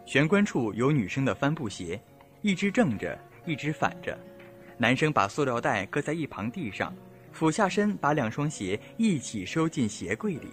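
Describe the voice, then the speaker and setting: A man's voice: medium pitch, sing-song, normal speed. A man reading scripted narration for an audio drama. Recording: music playing behind.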